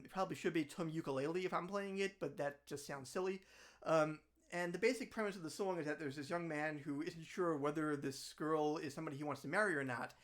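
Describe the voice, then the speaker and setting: A man's voice: on the high side, a bit bright. A man hosting a solo livestream, chatting in a rambling, self-deprecating way with lots of fillers and restarts. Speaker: singer-songwriter hosting a livestream. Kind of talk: solo livestream with ukulele songs and chat. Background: ukulele accompaniment.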